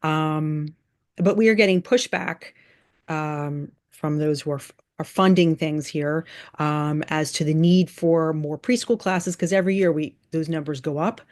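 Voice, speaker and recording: Woman thinking aloud, halting delivery. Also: low-pitched, darkish tone. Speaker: school board chair. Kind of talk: informal meeting remarks.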